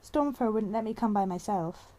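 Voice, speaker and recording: A woman's voice: medium pitch, expressive, normal speed, dark tone, pitched above the performer's own voice, natural voice. A woman voicing an anxious, earnest young female cat in an audiobook, uncertain and flustered.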